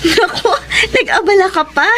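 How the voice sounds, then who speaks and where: A woman acting a gentle, heartbroken young wife in a Filipino radio drama, emotive and confiding, with a Filipino accent. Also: very high-pitched, normal speed, noisy background. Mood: cheerful, friendly. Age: young adult. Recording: radio drama with music underneath.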